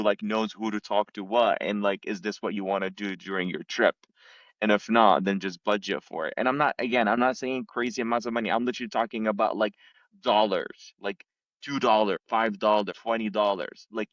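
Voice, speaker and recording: Young man from Lebanon, very low voice, easygoing podcast chat, dry slightly dull recording. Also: dry recording. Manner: relaxed and reflective, many "like" fillers. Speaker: podcast guest sharing travel stories. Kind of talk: casual conversation.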